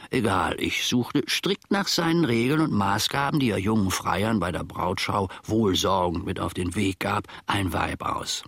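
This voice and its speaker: A man's voice: on the low side, gravelly, a bit bright, husky, dry recording, studio quality, exaggerated voice. An actor playing a wry, long-suffering old manservant who confides his tale in old-fashioned words in a radio drama.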